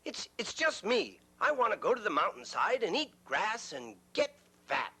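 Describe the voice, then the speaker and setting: A man's voice: sits high, wildly swooping pitch, moderate pace, bright, forceful tone, husky, voice pushed up, exaggerated voice. A man voicing the middle billy goat, hesitant and stammering. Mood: nervous. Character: middle billy goat, medium-sized and nervous.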